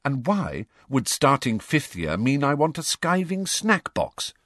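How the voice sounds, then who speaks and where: A man's voice: mid-range voice, very animated, measured pace, fairly bright tone, no room sound, clean studio sound, pitched above the performer's own voice. A man voicing a bookish, bossy teenage girl with a prim, matter-of-fact way of talking, in an audiobook. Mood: stern, challenging.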